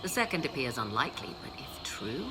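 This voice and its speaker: An actress playing a poised, learned and haughty sorceress in a video game, speaking in a measured, formal, theatrical way. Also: female voice, a bass voice, sing-song, heavy background noise. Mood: cool, intrigued. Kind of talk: video game dialogue acting. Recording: game music behind the voice.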